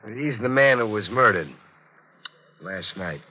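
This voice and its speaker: A police detective in a vintage radio mystery, polite and businesslike. His voice sits low, on a muffled old broadcast recording. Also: expressive, measured pace. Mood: businesslike, probing. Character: courteous, businesslike police lieutenant investigating a murder. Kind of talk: 1940s radio mystery acting.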